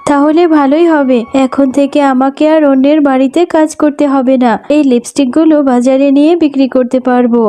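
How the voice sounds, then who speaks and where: A woman's voice: high voice, steady tone, a little fast, few pauses, warm tone, slight background noise, close to an everyday voice. A woman voicing a scolding, hard-working mother fox in a children's cartoon, with a nagging, motherly manner. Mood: amazed, relieved.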